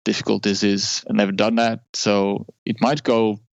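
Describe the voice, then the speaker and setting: A man's voice: on the low side, flat delivery, moderate pace, gravelly, dry recording, dull audio. A man from Norway, a guest in an interview, talking casually about his money habits with many fillers and restarts.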